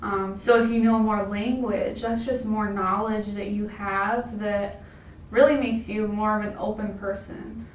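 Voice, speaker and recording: A woman's voice: mid-range voice, flat delivery, slow pace. A woman, giving thoughtful, informal interview answers as she thinks aloud. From American Midwest. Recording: echoey room, distant mic, faint noise.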